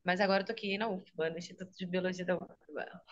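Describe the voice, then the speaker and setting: A woman with a Minas Gerais accent, talking at a middle pitch in an easy, explanatory way and often tagging her sentences with "né?". Her voice comes through a video call, sounding dull and lo-fi. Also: a little fast, fairly bright tone. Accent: Brazilian Portuguese, Minas Gerais. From Minas Gerais, Brazil. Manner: explanatory, frequent "né?", laughs easily. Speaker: guest, speech therapist and health teacher. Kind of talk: casual interview over a video call.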